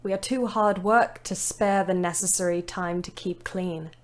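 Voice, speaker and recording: An actress voices a young woman narrating her wartime diary in an audio drama. She takes her time, measured and reflective. Her humour is dry and turned on herself. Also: fairly low pitch, fairly even intonation, lightly acted. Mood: wry, matter-of-fact. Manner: measured, reflective, dryly ironic. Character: young British woman ambulance driver, wry, self-deprecating diarist.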